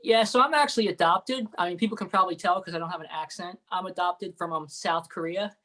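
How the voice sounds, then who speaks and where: A man's voice: high-pitched, fast talker, sharp tone. A man, a guest investor on a video call, telling his life story in an earnest way. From United States. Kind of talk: storytelling interview answer.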